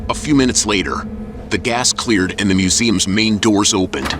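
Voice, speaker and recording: A man narrating an audio drama in a measured, even storytelling voice. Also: on the low side, noisy background. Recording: music underneath.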